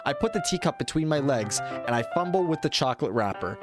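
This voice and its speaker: A man with a fairly high voice reads a visual novel aloud on a stream. He breaks into casual, joking asides and interrupts himself, while loud game music plays behind him. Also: expressive, fast.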